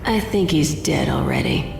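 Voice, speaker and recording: An actress playing a cool, dangerous femme fatale killer in a noir video game, sultry and confident. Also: female voice, low-pitched, huge pitch swings, unhurried, vocal fry, exaggerated voice. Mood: cool menace. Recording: echoey, with faint music behind.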